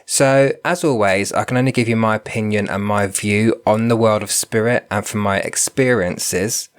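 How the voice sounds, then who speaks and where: A man's voice: fairly low pitch, normal speed. A man hosting a solo podcast, stuffed up and nasal from a cold, talking in a thoughtful way and often repeating words as he thinks. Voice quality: nasal, bunged up. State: congested from a cold. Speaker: podcast host, spiritual medium.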